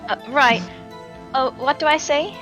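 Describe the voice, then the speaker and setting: Woman playing an eager, high-voiced girl assistant. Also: a little slow, fairly bright tone, muffled recording, soft background music. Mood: worried. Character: young female assistant, eager and unsure. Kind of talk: amateur video game dub.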